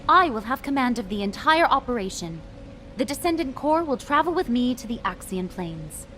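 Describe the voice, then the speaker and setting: An actress playing a composed, authoritative female military commander, speaking formally and in measured phrases for a game. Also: fairly high pitch, sing-song, fairly bright tone, a little hiss or hum. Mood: grave, composed. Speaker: military adjutant giving a briefing. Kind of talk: scripted game dialogue, mission briefing.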